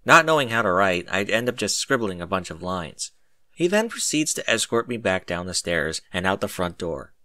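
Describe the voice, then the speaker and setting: A man reading a first-person story aloud in an expressive, dramatic way. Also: low voice, normal speed, dry recording. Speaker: narrator. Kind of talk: first-person story narration with acted dialogue.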